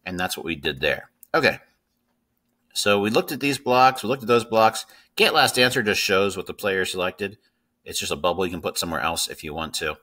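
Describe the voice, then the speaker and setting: Low, bright-voiced man teaching coding. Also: lively intonation, a little fast. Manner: informal, instructive, checks in with "right?" and "okay?". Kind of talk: screen-recorded tutorial, explaining step by step. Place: indoors at a computer.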